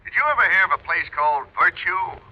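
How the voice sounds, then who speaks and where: Dull phone-like old radio sound, serious insurance executive, slightly high voice, formal, cautious. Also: male voice, expressive, quick pace. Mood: earnest, cautious. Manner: formal, earnest. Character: serious, cautious male insurance company official on telephone. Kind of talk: 1950s radio detective acting.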